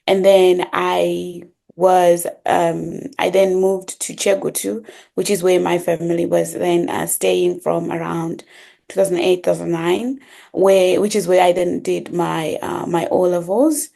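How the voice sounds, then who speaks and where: Young woman from zimbabwe, low gravelly voice, halting, lots of ums. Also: level tone, dark tone. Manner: halting, many ums and restarts. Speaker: guest. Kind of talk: casual interview conversation.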